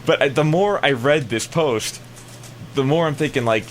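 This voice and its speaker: A man's voice: on the high side, moderate pace, a little hiss or hum. An American man chatting casually in a rambling, hesitant way, often trailing off before he finishes. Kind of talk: casual banter.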